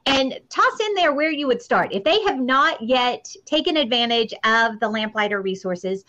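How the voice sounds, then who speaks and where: Female interview host, middle-aged, voice a bit high, earnest conversational delivery, dull recording. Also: expressive, moderate pace, bright, forceful tone. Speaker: podcast host. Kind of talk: casual interview conversation about faith.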